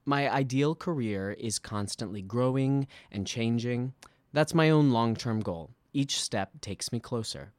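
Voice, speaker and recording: A man's voice: medium pitch, a little mellow, no echo. Man reading a personal essay, dry close sound. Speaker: musical theatre actor. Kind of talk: scripted personal essay read aloud.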